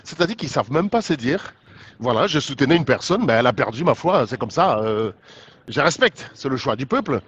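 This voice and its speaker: A man's voice: medium pitch, big pitch swings, a little fast, fairly bright tone, slightly rough, lo-fi sound. A man with a head cold, giving an indignant political monologue, full of rhetorical questions and restarts. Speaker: political commentator. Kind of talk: casual monologue to followers.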